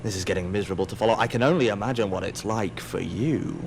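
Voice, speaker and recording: A man's voice: on the low side, fast, slight background noise. A man giving scripted audio drama narration in a wry, theatrical manner.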